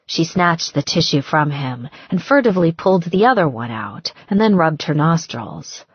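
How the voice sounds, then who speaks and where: A woman narrating a romance audiobook in an expressive, measured storytelling manner. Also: low-pitched, breathy edge, dull audio. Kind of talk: scripted romance fiction narration.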